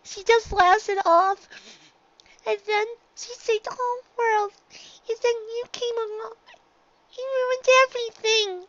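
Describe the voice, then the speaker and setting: A very high, squeaky little girl pony for a storybook. She is earnest and defiant, pleading in stammered bursts. The sound is bright and slightly muffled by the recording. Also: fairly even intonation, slow, a little hiss or hum, heavily acted. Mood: sobbing. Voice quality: squeaky childlike voice.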